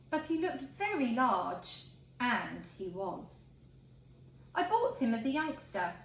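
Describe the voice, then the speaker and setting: A woman reading a picture book aloud to children in an expressive, emphatic way. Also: a little high. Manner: expressive, emphatic phrasing for young listeners. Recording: roomy echo, faint background noise.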